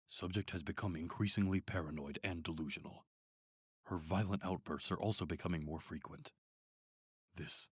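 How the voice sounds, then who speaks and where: A man playing a manipulative, middle-aged doctor in a radio drama, with a measured, clinical and coaxing way of talking. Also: sits low, slightly rough, no echo, clean studio sound. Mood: cold clinical. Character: calm, manipulative, sinister male doctor running an institute.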